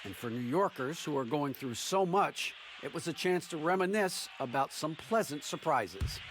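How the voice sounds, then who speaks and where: Man, sideline reporter, slightly high voice, polished newsy read, noisy background. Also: lively intonation, normal speed, fairly bright tone. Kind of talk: scripted sideline report for a basketball video game.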